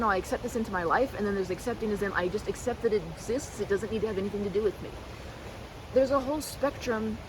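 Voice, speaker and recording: A woman's voice: fairly high pitch, noisy background. A woman rambling casually and animatedly like a chatty best friend, slipping into mimicked quotes in a roleplay.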